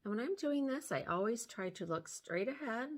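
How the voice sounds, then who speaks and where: An older woman with a slightly hoarse voice, gently talking through a makeup tutorial step by step, filmed close on a phone at home. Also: a little high, animated, normal speed. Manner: gentle, step-by-step explaining while applying makeup. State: hoarse from sinus trouble. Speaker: beauty vlogger. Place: small room at home, indoors. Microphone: phone camera close up.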